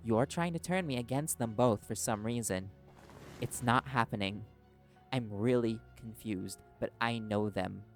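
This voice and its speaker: A man's voice: medium pitch, unhurried, natural voice. A man playing a defiant captive reporter, music behind. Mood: defiant, confused. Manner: guarded, stubborn, argumentative. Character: defiant, confused male reporter held captive, loyal to partner. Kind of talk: dramatic audio roleplay acting.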